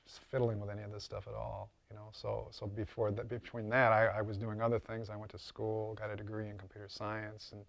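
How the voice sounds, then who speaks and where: An older man explaining his craft in a casual interview, haltingly and often repeating words. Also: on the low side, monotone, gravelly, dry recording. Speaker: interviewed prop and mask maker.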